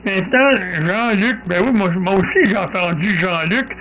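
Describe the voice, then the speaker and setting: A man's voice: sits high, normal speed, pushed and bright, poor audio. A man with a Québécois accent, chatting casually as an amateur radio operator and repeating short affirmations. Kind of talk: casual radio contact chat. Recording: faint radio hiss and ambience.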